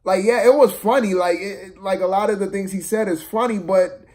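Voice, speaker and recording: A man giving a casual, unscripted film review rant, exasperated and slangy, repeating himself for emphasis in a halting way. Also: sits high, a little mellow.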